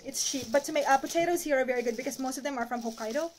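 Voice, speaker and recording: A woman in her thirties talks casually in a high, bright voice while unpacking groceries in a cramped kitchen. She is recorded on a phone camera close by. The sound is noisy and home-made. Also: very fast. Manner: chatty, holds items up to the camera. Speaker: vlogger. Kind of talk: casual chat. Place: small apartment kitchen, indoors. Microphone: phone camera at arm's length.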